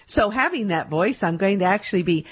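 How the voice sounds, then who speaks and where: A woman's voice: mid-range voice, expressive, brisk, husky. A middle-aged woman hosting a call-in radio show, chatting casually with fillers and repeating herself, just back from laryngitis. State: recovering from laryngitis. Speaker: radio show host and medical intuitive.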